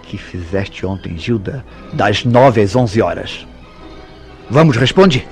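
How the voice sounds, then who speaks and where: A man's voice: fairly low pitch, expressive, slow pace. An actor playing a suspicious, jealous man in a Brazilian radio soap opera, speaking in formal, insistent, theatrical tones. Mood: accusing. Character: suspicious, jealous man relentlessly interrogating a woman. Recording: radio drama with background music.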